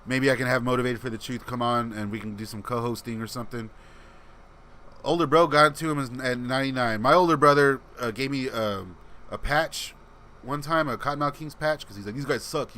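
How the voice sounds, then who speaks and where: A man's voice: medium pitch, brisk, sharp tone, slight background noise. A man hosting a casual livestream, reading and answering chat comments in a laid-back, rambling way, with a voice people call soothing. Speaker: channel host reading live chat.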